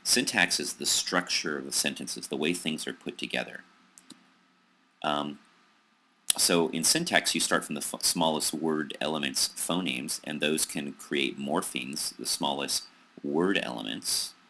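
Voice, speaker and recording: A man with an American accent giving a recorded psychology lecture over slides, pausing often with ums and restarts. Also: rumbling low, bright, forceful tone. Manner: hesitant, many ums and restarts.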